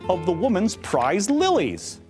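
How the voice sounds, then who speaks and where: A middle-aged man reading a children's storybook aloud with expressive narration. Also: sits high, unhurried, faint music behind. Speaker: read-aloud storybook reader. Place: indoor studio, seated on a couch.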